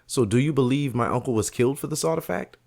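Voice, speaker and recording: A man's voice: mid-range voice, fast talker, vocal fry, a little mellow, no echo, studio quality. A man voicing a determined young adult man who speaks in a direct, questioning way. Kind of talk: audio drama storytelling.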